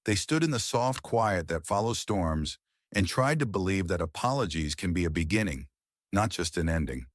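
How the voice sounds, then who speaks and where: A man's voice: sits low, animated, normal speed, no room sound, studio quality. A man reading a scripted dramatic story as its narrator.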